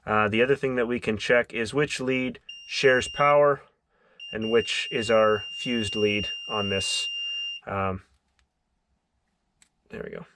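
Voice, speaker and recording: A man's voice: on the low side, fairly even intonation, takes it slowly, long pauses, noisy background. A man narrating a hands-on DIY tutorial as its host, explaining step by step and thinking aloud as he works. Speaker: DIY car electronics video host.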